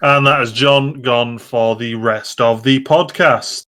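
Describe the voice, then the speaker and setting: A man chatting casually as a podcast co-host, with loose, halting, easygoing phrasing. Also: mid-range voice, fairly even intonation, pushed and bright. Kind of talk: casual gaming podcast chat.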